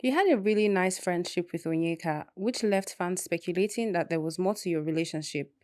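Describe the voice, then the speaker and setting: A woman interviewer asking prepared questions in a steady, scripted manner. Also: on the low side, a little fast, dry recording, clean studio sound.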